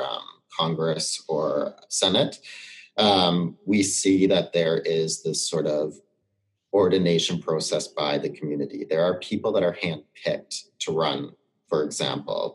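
A man's voice: a bass voice, fairly even intonation, measured pace, poor audio. A man speaking reflectively as a podcast guest, thinking aloud and searching for his words in a conversational discussion.

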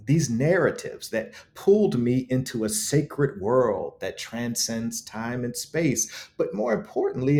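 A man's voice: mid-range voice, a little mellow. A man speaking as an interview guest, reflective and eloquent, with emphatic, preacherly storytelling and rhetorical repetition. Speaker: guest author.

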